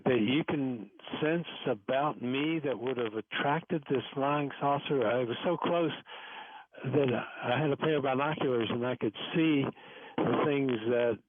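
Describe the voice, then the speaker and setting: Male talk show caller, medium pitch, hesitant storytelling, narrow telephone sound. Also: unhurried, a little hiss or hum. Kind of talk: hesitant personal account. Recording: narrow phone line.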